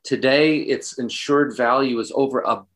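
A man speaking as an interview guest, giving earnest, deliberate and emphatic answers in a reflective way. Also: medium pitch, fairly even intonation, a bit bright.

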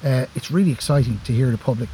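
An Irish man talks eagerly and casually, his voice mellow and soft-edged. He often repeats words as he gathers his thoughts. A faint outdoor ambience sits behind him. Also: medium pitch, fast, a little hiss or hum, low-quality recording. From Ireland. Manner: enthusiastic, repeats words while thinking. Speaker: guest, forestry worker. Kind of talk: casual podcast conversation. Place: outdoors among camping gear.

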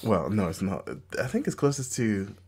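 A man's voice: on the low side, expressive, moderate pace, a little mellow, slightly rough. A man bantering casually as a podcast co-host.

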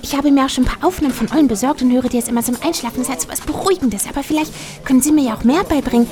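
An actress playing an eager, chatty small-town hostess with a soft spot for owls, in a German audio drama. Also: female voice, sits high, racing, lightly acted. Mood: eager, dreamy.

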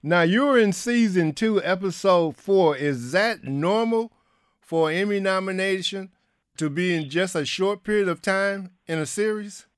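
Casting note: male radio host, fairly high, smooth and clear voice, dry studio sound. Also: a little slow, no echo. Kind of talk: broadcast interview.

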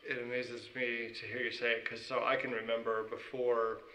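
A male pastor interviewing a church member, soft-spoken and affirming. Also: medium pitch, level tone, normal speed, echoey room, distant mic, slight background noise, poor audio.

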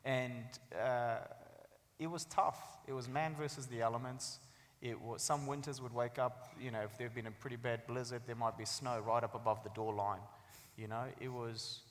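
A man's voice: mid-range voice, steady tone, moderate pace. A man, giving an articulate, storytelling talk about his own life as a guest author. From Afghanistan, later New Zealand. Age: 30s. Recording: echoey hall with long reverb.

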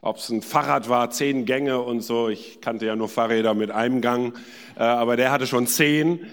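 A man from India preaching as a guest, telling personal anecdotes in an informal, hesitant way with many pauses. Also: medium pitch, steady tone. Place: large church hall. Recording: echoey hall with long reverb.

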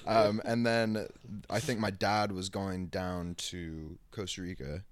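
A man, telling stories in a laid-back, halting way as an interview guest. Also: sits low, little pitch movement, no echo. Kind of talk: casual interview chat.

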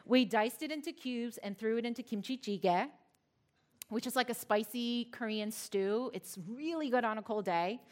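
A woman's voice: a little high, normal speed, darkish tone. A woman from Philadelphia giving a personal talk to a live audience, telling her story with playful asides to the crowd. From Philadelphia, United States.

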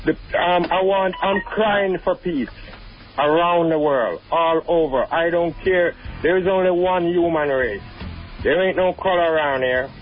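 A man's voice: on the high side, a little slow, muffled recording, soft background music. A Jamaican man, a musician guest on a radio show, talking earnestly and emphatically over the phone and repeating himself for stress. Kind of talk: casual radio interview chat. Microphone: phone line.

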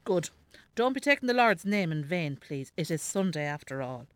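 An elderly Irish mother in a naturalistic radio drama. She is bossy, devout and sharp-tongued, scolding and nagging with a very animated, swinging delivery. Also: on the low side, moderate pace, dry recording.

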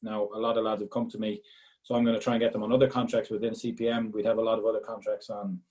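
An Irishman with a Galway accent talks quickly and easily, his voice soft and mellow. He answers questions in a loose, chatty way, often doubling words as he picks his thought back up. He sounds like a former footballer looking back on his work. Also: on the low side, little pitch movement. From Ireland, Galway. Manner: chatty, repeats words when restarting. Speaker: former footballer, regional sales manager. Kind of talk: casual interview answers.

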